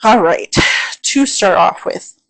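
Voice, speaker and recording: A woman hosting her own channel, talking through an astrology forecast in a casual, unhurried way with a slightly hoarse, tired voice, often saying "um" and checking in with "okay?". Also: medium pitch, expressive, poor audio.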